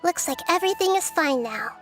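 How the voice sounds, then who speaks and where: Casting: childlike wise deity for anime game, high soft voice, calm, inquisitive, thoughtful explainer. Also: female voice, a little fast, faint music behind. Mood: calm, assured. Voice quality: soft, youthful. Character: gentle, wise young girl deity of nature, calm and inquisitive.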